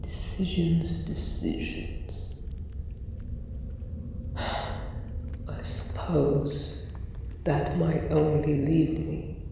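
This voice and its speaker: Slow, echoing, gravelly dragon acted by a man. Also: a little high, little pitch movement, exaggerated voice. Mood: pondering. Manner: slow, deliberate, mock-polite and condescending. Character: huge, condescending, possessive male dragon guarding his treasure. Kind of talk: improvised ASMR roleplay monologue. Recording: roomy echo, distant, faint noise, cave ambience. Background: cave ambience.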